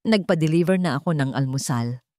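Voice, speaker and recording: A woman voicing a composed, businesslike young husband who speaks politely and calmly, in a narrated love story. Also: low voice, a little fast, no echo, studio quality, natural voice. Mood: cool, detached. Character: cool, businesslike young husband turning calm and protective.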